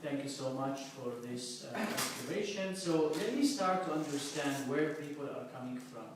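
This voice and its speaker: A man's voice: medium pitch, normal speed. A man hosting a question and answer session with an audience, upbeat, recorded at a distance in a very echoey room. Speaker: host, moderator. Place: echoing conference room with an audience. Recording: very echoey room, distant mic, faint noise.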